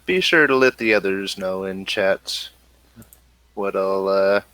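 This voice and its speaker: A man's voice: deep voice, slow, pushed and bright, smooth, dry recording. A man acting as game master, asking players questions in a tabletop game wrap-up. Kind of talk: running end-of-session tabletop game recap.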